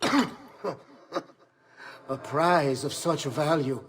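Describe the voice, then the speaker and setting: An actor playing a dying male villain who speaks in a slow, labored, coughing way, defiant to the end. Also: on the high side, a bit bright, a little hiss or hum.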